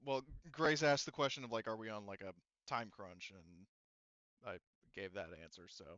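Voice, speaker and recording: A man's voice: fairly low pitch, animated, normal speed, a bit bright, smooth, dry recording, muffled recording. A man acting as game master, explaining the tabletop game situation to players and often rephrasing himself as he goes.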